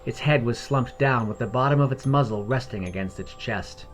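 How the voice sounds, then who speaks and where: A man narrates a scripted fantasy tale as storyteller. His voice sits at a medium pitch, with music behind it. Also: moderate pace.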